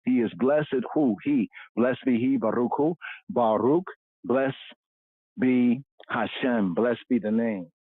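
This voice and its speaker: A man of middle pitch gives a loose, rambling religious talk, circling back over the same phrases and weaving in Hebrew words. The sound is thin and narrow like a phone line. It is dry, with no room echo. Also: slow pace, slightly rough. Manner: rambling, repeats phrases, mixes in Hebrew terms. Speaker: religious commentator. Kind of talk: informal religious teaching talk.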